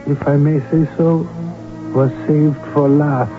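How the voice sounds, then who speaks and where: An actor playing a courteous court doctor who narrates a radio drama in a measured, confiding way. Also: male voice, on the high side, little pitch movement. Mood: confiding, solemn. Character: courteous court doctor recounting his memories. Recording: muffled radio broadcast with music behind.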